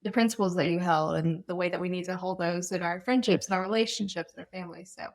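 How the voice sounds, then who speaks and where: A woman's voice: on the low side, fairly even intonation, fast. A young woman speaking as a guest on a podcast, chatty and playful. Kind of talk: casual podcast conversation.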